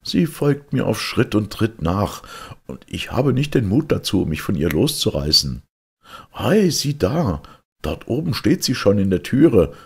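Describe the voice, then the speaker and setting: A poor fairy-tale woodcutter, voiced by a male storyteller, woeful and sly. He sighs and pleads in a very husky voice with big swings of pitch. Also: very animated, a little slow, heavily acted. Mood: sighing, woeful. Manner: sighing, pleading.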